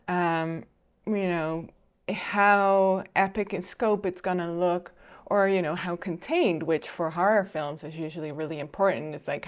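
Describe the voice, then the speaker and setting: A woman with a slightly low voice talks through a video as she watches it. She is chatty and thoughtful, pausing on fillers and restarting her sentences. It sounds like a casual reaction commentary. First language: Dutch. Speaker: filmmaker and reaction video host.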